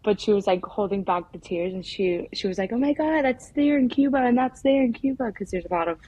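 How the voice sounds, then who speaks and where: Young woman, informal and halting filmmaker. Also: medium pitch, animated, warm tone, slightly rough, dry recording, low-quality recording. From United States. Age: young adult. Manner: informal, halting, peppered with filler "like". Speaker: documentary filmmaker being interviewed. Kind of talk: casual conversational interview answers.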